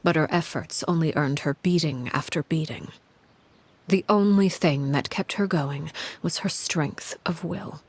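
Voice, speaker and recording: A woman voice actor playing a composed, cold, commanding woman who narrates a grim past in a game, in a measured, grave, articulate manner. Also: low-pitched, husky, full character voice. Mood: grave, restrained. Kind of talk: scripted video game story narration.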